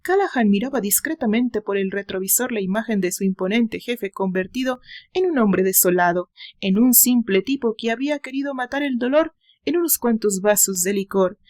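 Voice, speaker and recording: A woman's voice: fairly high pitch, normal speed, a little mellow. A woman with a Mexican accent giving a dramatic scripted narration of a story as its author and narrator.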